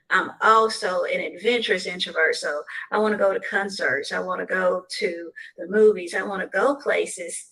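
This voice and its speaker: A woman from the American South speaks with a gravelly, creaky edge on her voice. She is a guest on an online interview and lays out her advice point by point in a calm, measured way. Her pitch is neither high nor low for a woman. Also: low-quality recording. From southern United States. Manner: measured, advice-giving, lists points in order.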